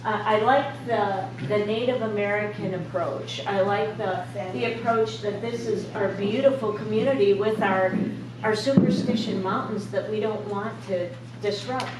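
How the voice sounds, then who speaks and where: A woman resident speaking up at a community meeting in a loud, eager voice, full of questions. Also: mid-range voice, steady tone, normal speed, noisy background. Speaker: local resident. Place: large echoey meeting room. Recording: echoey room, distant mic, crowd murmur.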